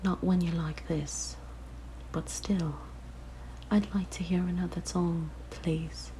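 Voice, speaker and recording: A woman voicing a possessive, curious siren monster in an ASMR roleplay, speaking in a soft, breathy whisper with a gentle, coaxing manner. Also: on the low side, fairly even intonation, takes it slowly, slight background noise, poor audio. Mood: enchanted, coaxing. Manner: gentle, wistful, fascinated, coaxing. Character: possessive, curious young female siren monster, softly luring. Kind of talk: ASMR roleplay, intimate monologue.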